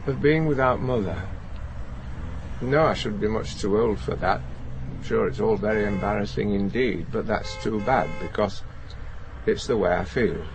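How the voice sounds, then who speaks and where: An actor playing a lonely, anxious, gentle older man, hesitant and plain-spoken, in a naturalistic radio play. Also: on the low side, moderate pace, background noise, close to an everyday voice. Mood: scared, confessional. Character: lonely, anxious, gentle old man, reluctant local celebrity.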